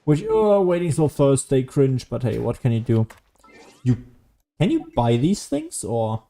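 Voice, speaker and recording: A man's voice: medium pitch, very animated, measured pace, gravelly, dark tone. Casual man rambling through gameplay commentary. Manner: rambling, thinking aloud. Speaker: gaming streamer.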